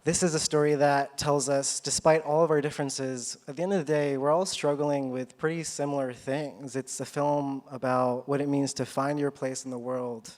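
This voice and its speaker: A man from Chile, a filmmaker, giving an earnest and personal live pitch for his film. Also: steady tone, normal speed, hardly any pauses, a little mellow.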